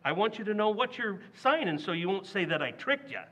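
A man's voice: high voice, fairly quick, heavily acted, low-quality recording. An actor playing a blustering, scheming working-class father in a recreated old-time radio comedy. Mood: bossy. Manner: blustery, excitable.

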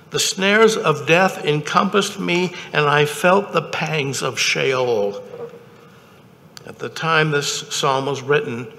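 A man in his seventies, a chaplain, delivering a sermon from notes in a measured, deliberate way with pauses between phrases. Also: on the high side, vocal fry, pushed and bright. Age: 70s. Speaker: chaplain preaching. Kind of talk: sermon, read from notes at a lectern. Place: indoor chapel, at a wooden lectern. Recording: reverberant chapel hall.

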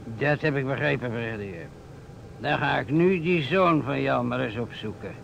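A man's voice: mid-range voice, normal speed, vocal fry. An actor playing a dry, probing police inspector, a man with a curt questioning manner, in an old radio play. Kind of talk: vintage Dutch radio play acting. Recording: dull, noisy old radio recording.

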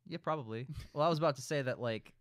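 A man's voice: medium pitch, fast, dry recording, clean studio sound. A man in his twenties hosting a casual group discussion, explaining his points at wordy, rambling length. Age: 20s. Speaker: host of a film discussion show.

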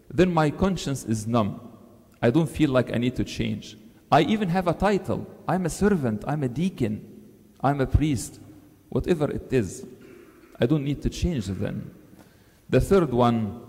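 A man, a priest giving an earnest sermon into a lectern microphone, lifting his pitch to stress key words. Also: darkish tone. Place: large church, seated at a lectern. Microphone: stand mic on the lectern. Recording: long church reverb.

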